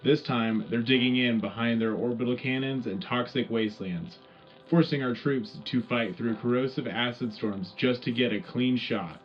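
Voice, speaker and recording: A man's voice: mid-range voice, normal speed, lightly acted. A man playing a folksy, rallying wartime radio news host delivering a scripted war bulletin. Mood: rallying, confident. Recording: muffled lo-fi radio sound with music behind.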